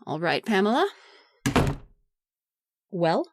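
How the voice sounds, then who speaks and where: An actress playing a trusting, forgiving wife in an old-time radio suspense drama. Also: female voice, medium pitch, sing-song, takes it slowly, background noise. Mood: guarded. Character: trusting, forgiving wife, guarded then sweetly relieved.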